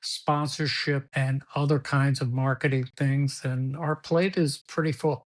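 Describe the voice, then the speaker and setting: A man's voice: mid-range voice, flat delivery, unhurried. An older man telling long stories in English, his second language after Spanish. He rambles, repeats himself and laughs partway through his anecdotes. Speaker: guest. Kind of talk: storytelling interview.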